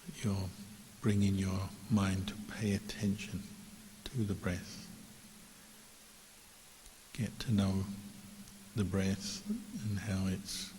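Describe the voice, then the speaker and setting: Calm guy leading a meditation, low voice, slow careful phrases with big gaps, echoey hall and some hiss. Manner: calm, measured, with long pauses. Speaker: Buddhist monk, meditation teacher. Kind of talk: guided meditation instruction.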